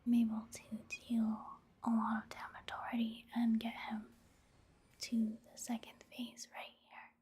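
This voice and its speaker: Whispering woman, slow ASMR game commentary. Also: a little high, completely flat delivery, husky. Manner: gentle, unhurried, casual narration. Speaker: video game streamer and ASMR host.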